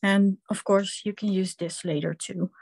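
A Dutch woman with a Dutch accent teaching an online craft class, talking her way through a hands-on demonstration with many pauses and ums. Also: mid-range voice, fairly even intonation, vocal fry, a little mellow, slightly rough. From Netherlands. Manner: slow, halting, many ums, hands-on explaining. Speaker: craft instructor.

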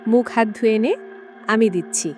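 A man voicing a caring middle-aged village mother, in a high, softly scolding voice, over background music. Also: normal speed, close to an everyday voice. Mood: worried caring. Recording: music behind voice.

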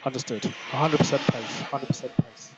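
A man working as a race engineer, giving measured, clipped, often repeated instructions over team radio. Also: mid-range voice, highs cut off. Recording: noisy team radio link with clicks.

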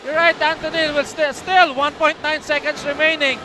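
A man's voice: very high-pitched, flat delivery, moderate pace, a crowd in the background. A man, a coach, giving color commentary beside the play-by-play caller, analysing plays and echoing his partner. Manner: analytical, echoes and agrees with partner. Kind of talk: live basketball commentary. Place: courtside booth in a packed arena. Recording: noisy arena broadcast.

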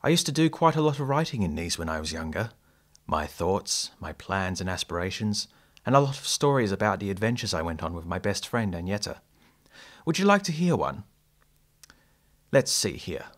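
Low-voiced, earnest male diarist, acted by a man. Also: sing-song, normal speed. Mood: earnest, reflective. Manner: formal, storybook-like phrasing. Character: male diarist, polite, earnest, easily startled friend. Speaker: narrator and character. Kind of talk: audio drama diary narration.

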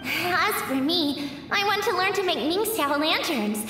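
Confident dreamy small child, game voice, super lively pitch, echoey and far off. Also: female voice, measured pace, soft background music, heavily acted. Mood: proud, dreamy. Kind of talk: video game voice acting. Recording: strong echo, distant.

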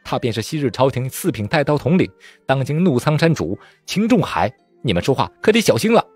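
A man voicing a fierce, quick-tempered young woman bandit in a husky voice. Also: medium pitch, very animated, no room sound, faint music behind, voice pushed up. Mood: indignant. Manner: angry, indignant.

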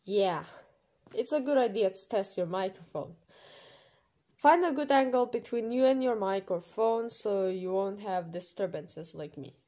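A woman's voice: medium pitch, expressive, unhurried, mellow. A woman reading an informal explainer voice-over in an emphatic, instructional way, as a creator running an audition. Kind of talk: informal scripted explainer voice-over with numbered rules.

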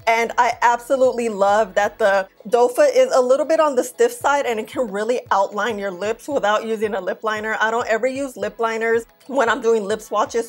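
A woman in her thirties talks straight to the camera in a fairly high voice, loud and bubbly as she reviews makeup. Her chat is casual and excitable, with faint music playing underneath. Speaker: beauty vlogger. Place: indoor filming set with plain backdrop.

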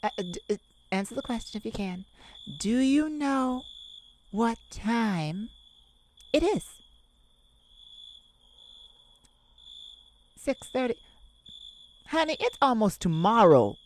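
A woman's voice: fairly high pitch, lively intonation. A woman voicing a sassy, kind-hearted cat friend in an ASMR roleplay, theatrical and teasing as she scolds the listener in a motherly way. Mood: exasperated. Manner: theatrical, teasing, drawn-out emphasis. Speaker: audio roleplay performer.

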